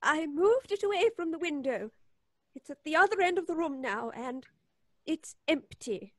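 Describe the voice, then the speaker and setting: A prim, high-strung woman in an old-time radio thriller. Her voice is high and recorded dry. She speaks emphatically, distressed and indignant, in exclamatory period style. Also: lively intonation, moderate pace, no room sound. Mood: wary, alarmed.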